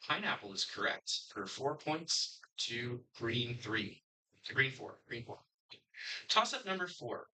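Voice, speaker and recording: Brisk, bright-voiced male quiz moderator. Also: mid-range voice, rough recording. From Connecticut. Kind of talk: reading quiz questions.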